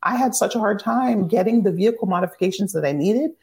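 A woman's voice: mid-range voice, fast talker, a little mellow. A woman in her thirties, an author and advocate, telling her life story in a candid, upbeat way as a podcast guest. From Virginia, near Washington DC. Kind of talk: podcast interview.

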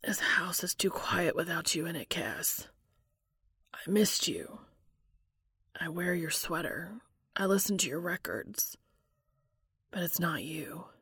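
An ailing, lovesick man in an audiobook story, voiced by a woman. He speaks low and hushed, often close to a whisper, stubborn and pleading. The sound is dry and close. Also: expressive, slow pace, long pauses, slightly rough, no echo, exaggerated voice. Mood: hushed longing. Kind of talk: audiobook character dialogue.

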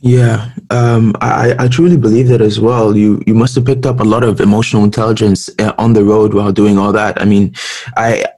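A man's voice: on the low side, flat delivery, a little fast, dark tone, no echo. A man hosting a leadership podcast, talking in an earnest way with lots of fillers and restarts. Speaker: podcast host. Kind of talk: podcast interview.

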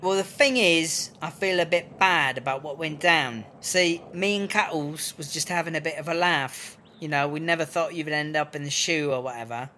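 A man's voice: on the high side, normal speed, few pauses, bright, forceful tone, a little hiss or hum. A man playing a crafty, foul-mouthed female prison wine brewer with a British accent, chummy and wheedling, in a comedy audio drama. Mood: friendly, apologetic.

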